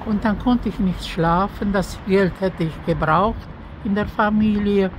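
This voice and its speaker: Older woman, halting testimony outdoors. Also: mid-range voice. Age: older, over 63. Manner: halting, searching for words. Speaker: interviewee giving a faith testimony. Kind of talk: spontaneous personal testimony with prayer. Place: outdoors by an apartment entrance on a street. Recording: outdoor street noise.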